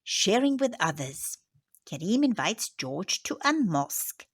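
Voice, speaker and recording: A woman teacher reading a picture book aloud to children, expressive and dropping into soft whispers on headings and asides. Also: medium pitch, unhurried, breathy edge. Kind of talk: children's story read-aloud.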